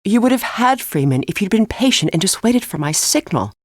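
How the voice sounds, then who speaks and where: A woman's voice: medium pitch, very animated, brisk, no room sound, studio quality. An actress playing an earnest, composed middle-aged woman physicist in a video game, speaking precisely and a little formally. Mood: indignant. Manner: precise, articulate, slightly formal. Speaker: scientist character in a video game. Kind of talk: scripted video game voice acting.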